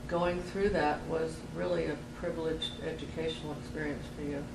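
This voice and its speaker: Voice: mid-range voice, level tone, moderate pace, gravelly. An interviewer asking brief prompting questions in an oral history interview. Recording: echoey room, distant mic, noisy.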